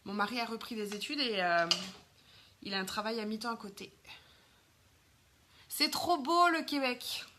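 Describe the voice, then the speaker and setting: A woman's voice: a little high, moderate pace, pauses often, noisy background. A woman from France in her thirties with a French accent, chatting casually on a makeup live stream and greeting viewers. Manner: chatty, lots of fillers, greets viewers while doing makeup.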